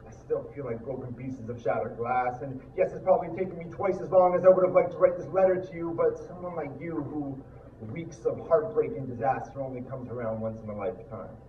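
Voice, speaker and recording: Echoey, distant young male slam poet. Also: mid-range voice. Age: young adult. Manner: earnest, dramatic, deliberate phrasing. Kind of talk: spoken word poetry performance. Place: live poetry slam stage. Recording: echoey room, distant muffled mic, noisy.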